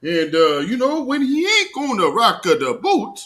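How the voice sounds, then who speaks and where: Animated, bright-voiced American man. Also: very high voice, measured pace. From Portland, Oregon, United States. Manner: mocking, sarcastic, conversational. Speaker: live show host. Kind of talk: casual opinionated live commentary.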